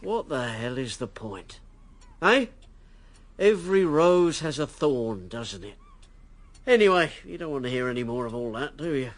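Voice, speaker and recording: An actor playing a bitter, hard-drinking army major and politician in a radio drama, sardonic, self-mocking and brash. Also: male voice, mid-range voice, very animated, a little slow.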